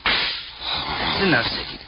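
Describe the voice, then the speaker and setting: A man's voice: slow, lightly acted. A man playing a smug gangster boss in an old radio crime drama, mockingly polite and theatrical as he gives menacing orders. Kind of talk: 1940s-50s radio crime drama acting. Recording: noisy, muffled old radio recording.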